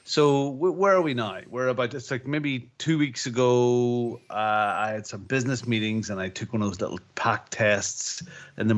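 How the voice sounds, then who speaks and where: A man on a podcast, talking in a casual, rambling way with lots of stops and restarts. His voice sounds chesty from a lingering cough, and his pitch sits at an ordinary middle height. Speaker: podcast co-host. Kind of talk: casual chat.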